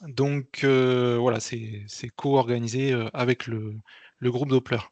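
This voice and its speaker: A man presenting an informal meeting briefing as an event organizer, speaking hesitantly and haltingly with frequent repeats. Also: medium pitch, fairly even intonation, darkish tone, dry recording, dull audio.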